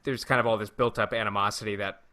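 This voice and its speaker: Low-pitched man, bright forceful podcast voice. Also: steady tone, quick pace, slight background noise. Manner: enthusiastic, jokey, emphatic. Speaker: podcast co-host. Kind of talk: casual storytelling and banter.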